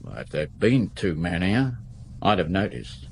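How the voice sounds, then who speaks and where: Nightclub owner, lowish voice, defensive, rough grammar, radio drama. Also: male voice, big pitch swings, a little slow, a little hiss or hum, heavily acted.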